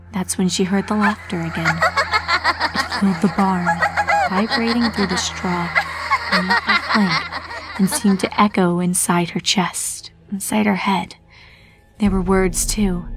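A woman narrator with a medium-pitched voice reads a horror story. Her delivery is dramatic and scripted, with clear music playing behind her.

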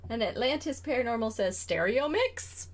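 A woman's voice: fairly high pitch, lively intonation. A woman co-hosting a live stream from home, teasing and giggly as she reads out the chat. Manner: giggly, teasing, drawn-out delivery. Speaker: co-host reading chat.

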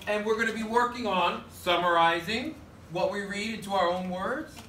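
A man's voice: high voice, normal speed, pushed and bright. A man, a third grade teacher, giving classroom instruction in a projected, directive way with short prompts and call-and-response. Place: school classroom, indoors. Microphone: distant camera at back of room. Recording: echoey classroom, distant mic, faint noise.

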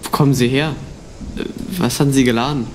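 A man's voice: medium pitch, steady tone, a little fast, darkish tone, a little hiss or hum, close to an everyday voice. A man playing a lenient, restless wall guard in a school radio play. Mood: weary.

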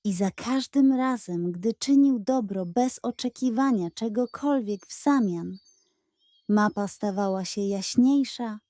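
Slow, mellow woman reading a bedtime story. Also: mid-range voice, dry recording. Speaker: storyteller of children's bedtime tales. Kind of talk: scripted storybook narration.

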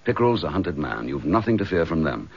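An actor playing a brisk, steady police inspector in a radio drama, with a husky British voice. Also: male voice, a bass voice, full character voice. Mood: reassuring. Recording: dull, band-limited radio recording.

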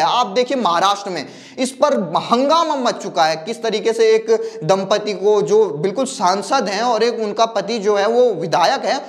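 A young man presenting news commentary to camera in a studio on a lapel mic, reeling off lists of figures as he talks straight to the viewer. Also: sits high. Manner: talks directly to the viewer, gesturing with a phone in hand; reels off long lists of figures. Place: dark studio backdrop, indoors.

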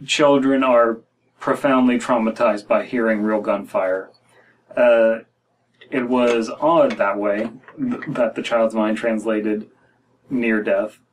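A man's voice: lowish voice, fairly even intonation, takes it slowly, slight background noise, rough recording. An American man in his thirties reading a horror story aloud as a podcast co-host, with wry, critical asides. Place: small room indoors.

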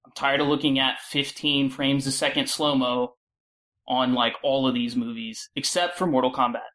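A woman's voice: extremely low pitch, moderate pace. A woman speaking informally and opinionatedly as a guest in a casual film discussion. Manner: informal, opinionated, animated asides. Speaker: podcast guest panelist.

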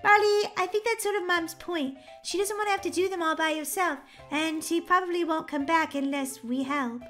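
Lady doing a know-it-all kid daughter, really high bright voice, game roleplay. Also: moderate pace, soft background music. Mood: matter-of-fact. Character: breezy, know-it-all young girl, the other daughter. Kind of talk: children's game roleplay acting.

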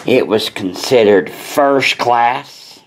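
A man speaks slowly and deliberately at an ordinary mid pitch, laying things out in a blunt, plain-spoken manner. His casual shop talk is caught on a camera mic near the workbench in an indoor parts workshop. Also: poor audio. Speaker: parts seller explaining brakes.

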